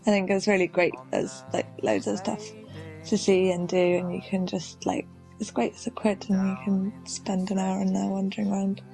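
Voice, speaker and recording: A woman's voice: mid-range voice, flat delivery, moderate pace, vocal fry, dark tone, faint music behind, low-quality recording. A woman, chatting informally. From Derbyshire, England. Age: 20s. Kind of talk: casual interview.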